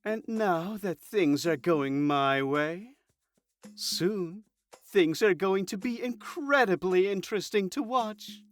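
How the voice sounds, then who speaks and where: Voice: medium pitch, animated, slow pace, soft background music. A performer voicing a smug, scheming god of deception in an audio drama, with a savoring delivery.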